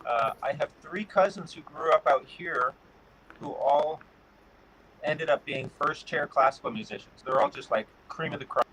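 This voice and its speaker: Bright-voiced middle-aged man, unhurried. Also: a little high, creaky, a little hiss or hum, poor audio. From Connecticut. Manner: relaxed, pauses with many "uh" fillers. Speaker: guest, guitar builder. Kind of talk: casual interview answers.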